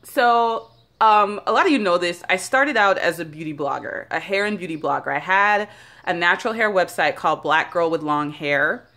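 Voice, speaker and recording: A low-pitched woman in her thirties from Jamaica talks loosely, with plenty of fillers. She records herself on a handheld phone in a small bathroom by a bright window. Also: normal speed. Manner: chatty and rambling, with many fillers. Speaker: founder of a beauty brand. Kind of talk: casual vlog talk. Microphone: phone held at arm's length.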